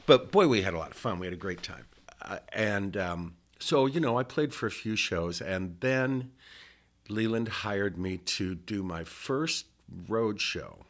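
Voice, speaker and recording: Rambling, lower-voiced older man reminiscing. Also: fairly bright tone. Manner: rambling, digressive storyteller. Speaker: interview guest, musician. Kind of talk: casual reminiscing interview.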